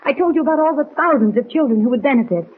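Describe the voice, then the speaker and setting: A spirited woman reporter in an old radio serial. Her high voice swings widely as she pleads quickly and earnestly. It comes through a tinny vintage recording. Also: animated. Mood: earnest pleading. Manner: earnest, urgent, persuasive. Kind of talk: 1940s radio acting.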